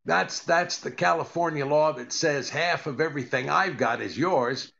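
Older male actor as a put-upon radio sitcom husband, deadpan, sharp gravelly delivery, slightly dull recording. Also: a little high, moderate pace. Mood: dry, patient. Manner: deadpan, hesitant with uhs. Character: dry, put-upon comedian husband doing income tax. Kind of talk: 1940s radio comedy acting.

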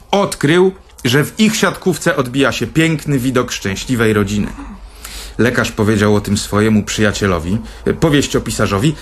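An actor playing a vain, theatrical older brother in a radio play, telling tales in a pompous manner. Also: male voice, mid-range voice, slight background noise, close to an everyday voice. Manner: pompous, storytelling.

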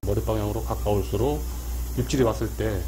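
A man hosting a fishing channel, calmly walking through how to hook bait with many repetitions and fillers. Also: fairly low pitch, fast talker, slight background noise, rough recording. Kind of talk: casual how-to demonstration. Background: clear background music.

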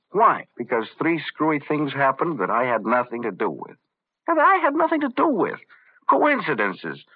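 Guy acting nervous stammery middle-aged american businessman, old radio drama, really swoopy pitch, tinny muffled sound. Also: close to an everyday voice. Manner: stammering, agitated. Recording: muffled narrow-band old radio recording.

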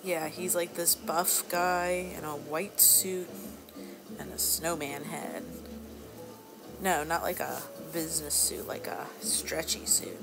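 Woman playing a low, creaky, flirty bar hostess. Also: fairly even intonation, slow pace, heavily acted, rough recording. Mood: chatty, casual. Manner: casual, teasing, drawling asides. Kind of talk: soft-spoken ASMR roleplay. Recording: music behind the voice.

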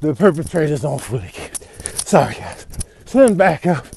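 A man's voice: a little high, big pitch swings, moderate pace, creaky, dark tone, slight background noise. A man voicing an exasperated veteran cop with a grumbling, folksy manner in a comedic voiceover. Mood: apologetic, weary. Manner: grumbling, world-weary, folksy. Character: weary veteran male cop on a foot chase, exasperated. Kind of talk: comedic improvised video voiceover.

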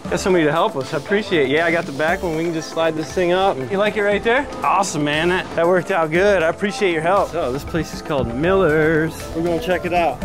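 A man's voice: fairly high pitch, fast, over music. A man vlogging while sailing outdoors, cheerfully narrating each task and encouraging his partner. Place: outdoors on a sailboat.

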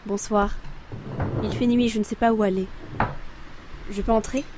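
A woman's voice: mid-range voice, steady tone, moderate pace, noisy background, close to an everyday voice. A woman playing Death disguised as a beggar in a French audio drama, composed and enigmatic. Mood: polite.

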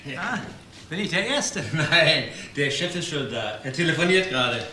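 A man's voice: on the high side, expressive, normal speed, lightly acted. An actor playing an affable employee who defers to his boss in a crime radio drama. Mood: cheerful. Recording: echoey sound with background noise.

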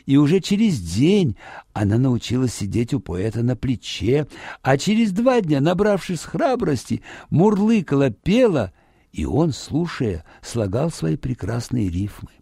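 A man narrating a fairy tale for children in an expressive, dramatic storytelling manner. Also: mid-range voice, unhurried, creaky, husky, dry recording, clean studio sound.

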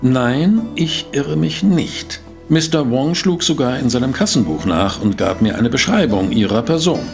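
Animated, gravelly newspaper reporter. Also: male voice, medium pitch, unhurried, soft background music. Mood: insistent. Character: brisk, wry male newspaper reporter and sidekick. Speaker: narrator and character actor. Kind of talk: German audio drama acting and first-person narration.

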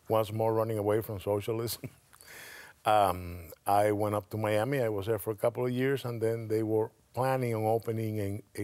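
A man in his sixties with a Latin American Spanish accent talks in a relaxed way on a studio panel. His voice sits a little low, and the lapel mic sounds close and dry. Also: no echo. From Cuba. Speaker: state commission director, guest. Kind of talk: studio interview answers. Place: TV studio set.

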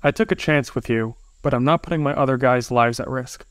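A man's voice: medium pitch, a little fast, a bit bright, clean tone, dry recording, studio quality, natural voice. A man acting in a comic dub as a stern but regretful boss. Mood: firm, regretful.

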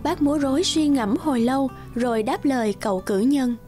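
A woman's voice: sits high, brisk, warm tone, soft background music. A woman narrating a scripted fairy tale for children.

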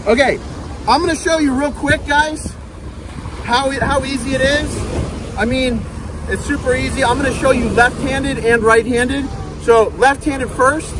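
A man's voice: very high-pitched, steady tone, unhurried, crowd noise. A man in his thirties hosting a fishing channel, upbeat, demonstrating a cast net outdoors on a sandy beach and calling the viewers "guys". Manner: upbeat, repeats "guys" and "okay", talks while handling the net. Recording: outdoor beach noise behind the voice.

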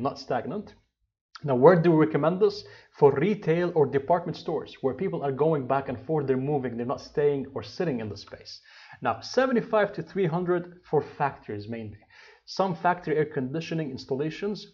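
A man's voice: medium pitch, a little mellow, muffled recording. A man in his thirties teaching an engineering course over slides, explaining step by step with restarts and fillers. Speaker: HVAC instructor. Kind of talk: slide lecture.

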